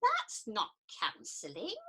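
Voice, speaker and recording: A woman's voice: medium pitch, huge pitch swings, slow, bright, forceful tone, breathy edge, heavily acted. A woman playing an earnest, eager and caring new counsellor in a comedic radio play, with a prim manner.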